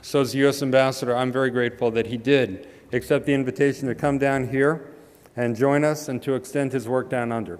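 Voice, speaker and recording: A man stands at a podium and introduces the evening's main speaker with dry, joking asides, halting now and then on hesitant fillers. His voice rings smooth and resonant, and a long hall reverb trails each phrase. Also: medium pitch. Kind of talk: humorous speech introduction. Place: large hall.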